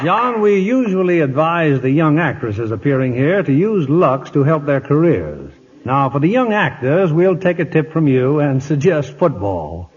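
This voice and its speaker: A man's voice: on the high side, very animated, a little slow. An American man hosting a 1950s radio drama program in a formal, polished, scripted announcing style. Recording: muffled vintage radio broadcast.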